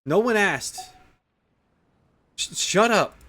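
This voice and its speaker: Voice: medium pitch, animated, slow, pushed and bright. Streamer doing casual game commentary, blunt n sarcastic, keeps repeating stuff for emphasis.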